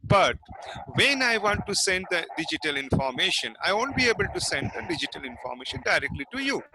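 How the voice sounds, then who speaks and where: Male teacher. Fairly high voice, heard over a noisy video call. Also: sing-song, a little fast. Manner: repeats questions and answers them himself. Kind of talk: online class lecture. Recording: noisy online class audio.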